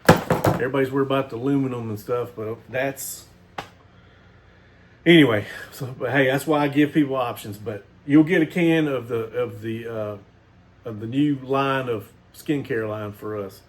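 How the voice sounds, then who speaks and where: A man's voice: medium pitch, moderate pace. A man, hosting a casual knife video and rambling to his viewers with lots of fillers and restarts. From Missouri, USA. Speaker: knife channel host.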